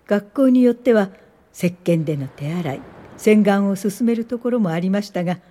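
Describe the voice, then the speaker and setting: An actress playing a gentle older social worker, narrating her memories in a measured radio drama style. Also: mid-range voice, expressive, close to an everyday voice. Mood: reflective. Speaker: narrator and lead character.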